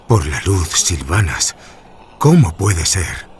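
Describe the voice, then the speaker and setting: A grieving elf defender in a fantasy radio play. His deep voice moves slowly and with wide, shaken inflection over faint ambience. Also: sing-song, slight background noise, exaggerated voice. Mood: stricken disbelief. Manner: slow, stricken, disbelieving. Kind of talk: radio drama acting.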